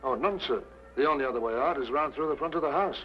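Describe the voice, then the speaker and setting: An actor playing a deferential, gossipy stage doorkeeper who hesitates a little, in an old-time mystery drama. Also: male voice, fairly high pitch, fast talker, slight background noise, lightly acted. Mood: helpful, gossipy. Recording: dull old radio recording.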